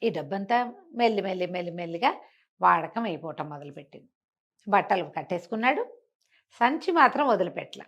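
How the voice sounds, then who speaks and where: A woman's voice: fairly low pitch, expressive, a little fast, long pauses, a bit bright. A woman storyteller telling a bedtime folk tale conversationally, repeating and explaining small details as she goes.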